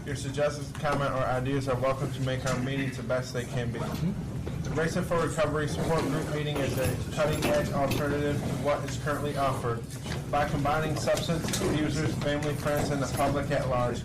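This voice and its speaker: A man's voice: fairly high pitch, flat delivery, brisk. A man reading meeting guidelines aloud with small stumbles. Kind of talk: reading a list of rules aloud. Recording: noisy, distant mic.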